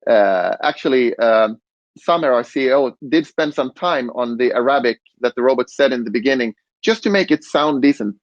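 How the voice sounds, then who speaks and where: A man on a conference panel explaining design, relaxed, with fillers and restarts. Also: medium pitch, normal speed, dry recording, highs cut off. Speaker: robot interaction designer.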